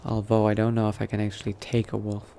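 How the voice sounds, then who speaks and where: A man's voice: lowish voice, monotone, quick pace, gravelly, warm tone. A man voicing a cautious, coldly pragmatic new vampire in a roleplay, muttering to himself and often dropping into a whisper. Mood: startled, calculating. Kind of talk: in-character roleplay narration, thinking aloud. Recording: noticeable background noise.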